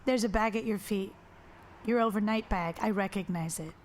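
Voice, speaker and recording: A woman's voice: medium pitch, normal speed, a little hiss or hum, natural voice. An actress playing a guarded, weary but firm woman in a naturalistic audio drama, with a curt, dry manner. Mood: guarded, cool.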